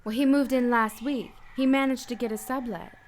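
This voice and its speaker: A woman's voice: fairly high pitch, steady tone, normal speed, slight background noise, close to an everyday voice. A woman playing a mysterious, anxious married woman in a noir radio play, earnest and guarded.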